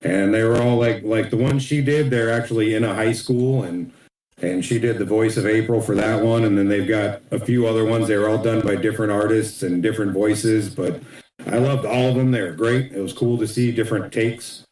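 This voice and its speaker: A middle-aged man in casual podcast chat as a guest artist, talking in a relaxed, rambling way. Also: fairly low pitch, fairly quick, echoey room, low-quality recording.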